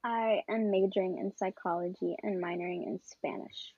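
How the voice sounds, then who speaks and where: A woman's voice: mid-range voice, steady tone, normal speed, husky, no room sound. Nineteen-year-old woman, dull dry sound. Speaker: podcast guest, college student. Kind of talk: casual podcast chat.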